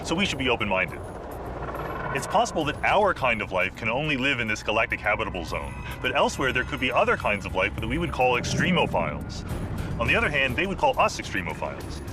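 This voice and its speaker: Fast-talking, animated male expert. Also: mid-range voice. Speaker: expert interviewee. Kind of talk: conversational explanation. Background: music.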